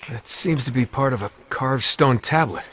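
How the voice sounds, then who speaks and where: An actor playing a wry, casual adventurer hero in a video game. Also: male voice, mid-range voice, moderate pace, fairly bright tone, a little hiss or hum, close to an everyday voice. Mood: focused. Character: wry, exasperated male adventurer hero, thirties. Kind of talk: naturalistic video game acting.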